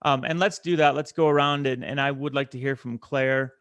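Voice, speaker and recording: A man chairs a committee meeting in a mid-range voice with a dry, close sound. He talks deliberately and hesitantly, with frequent fillers and restarts. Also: mid-range voice, steady tone, fairly bright tone, clean tone, dry recording.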